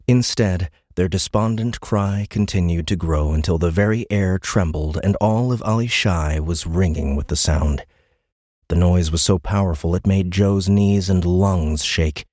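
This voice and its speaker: A man with a deep, low-pitched voice narrates a science fiction story. His delivery is dramatic and deliberate, recorded very dry and close in a studio. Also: darkish tone, dry recording. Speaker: audiobook narrator. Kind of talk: scripted sci-fi story narration.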